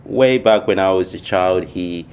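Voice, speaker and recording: A man from Malawi, a missionary church planter, answering interview questions in a thoughtful, unhurried way with many pauses. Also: sits low, a little mellow, clear, resonant tone.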